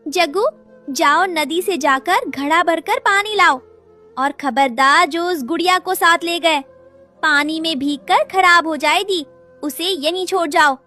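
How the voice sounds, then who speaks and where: A woman's voice: very high voice, a little slow, sharp tone, faint music behind, full character voice. A woman voicing a cruel cartoon stepmother crow with a scolding, contemptuous manner. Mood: bossy.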